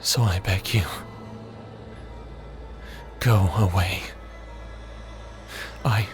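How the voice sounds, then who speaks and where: A man playing a gentle, fatherly creator god with a hidden weariness in a scripted audio roleplay, soft-spoken and deliberate, sometimes slipping into a whisper. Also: low voice. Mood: sorrowful, pleading. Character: gentle fatherly creator god, tender mentor, secretly haunted and weary.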